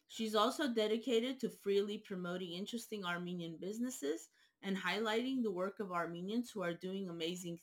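Woman host, lower voice, reading a formal intro and questions. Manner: measured, formal. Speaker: interviewer.

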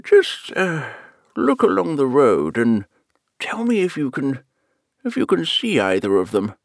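A man's voice: mid-range voice, wildly swooping pitch, creaky, no echo, clean studio sound, exaggerated voice. A man doing a fretful fussy old king. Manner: fretful, slow and drawn out. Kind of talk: audiobook character reading.

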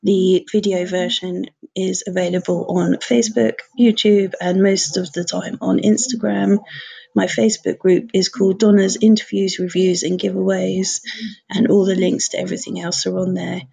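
A woman's voice: mid-range voice, flat delivery, normal speed, vocal fry, mellow, slightly rough, rough recording. A woman hosting an interview podcast, giving a friendly welcome and asking her guest questions over a video call.

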